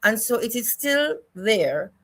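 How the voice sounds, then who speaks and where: An older woman with a Jamaican accent, speaking as an interview guest in a reflective, deliberate way and repeating phrases for emphasis. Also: fairly high pitch, very animated, a bit bright. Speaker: guest, choreographer and dance educator.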